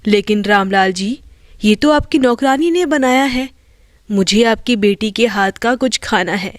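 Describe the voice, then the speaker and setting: A woman's voice: medium pitch, unhurried, dry recording, studio quality, voice pushed down, lightly acted. A woman voicing a groom's blunt, food-loving middle-aged mother in a matronly manner for a storybook tale.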